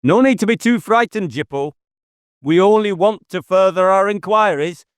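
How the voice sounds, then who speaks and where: Agitated police inspector, played by a male narrator, pitched up, declamatory and challenging, dry audiobook sound. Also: normal speed, smooth, no echo, natural voice. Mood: taunting, commanding. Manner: challenging, raised declamatory delivery. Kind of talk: audiobook character dialogue.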